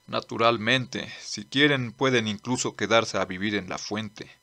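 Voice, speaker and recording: A man playing a blunt, earnest old lawman in a manga dub, speaking formally and deliberately. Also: lowish voice, creaky, pushed and bright, exaggerated voice. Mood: earnest, firm. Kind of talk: dramatic dubbing.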